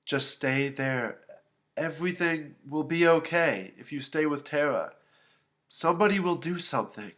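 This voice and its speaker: A man voicing a loving, worried father in a narrated story, trying to sound brave, tender and halting. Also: a little high, fairly bright tone, pitched above the performer's own voice. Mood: soothing.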